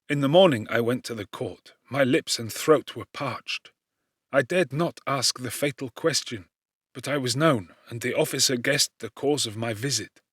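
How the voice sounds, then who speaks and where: A man reads a classic novel aloud as an audiobook narrator. His voice sits at a medium pitch, with formal, dramatic expression. The sound is very dry, free of room echo. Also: a bit bright.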